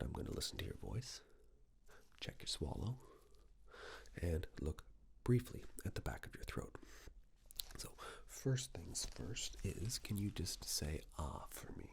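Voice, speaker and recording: A man speaking in a hushed ASMR medical roleplay style, giving gentle step-by-step instructions as a clinician. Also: rumbling low, very animated, slow pace, gravelly. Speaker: ASMR performer playing a clinician giving a cranial nerve exam. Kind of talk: ASMR medical roleplay, soft near-whisper.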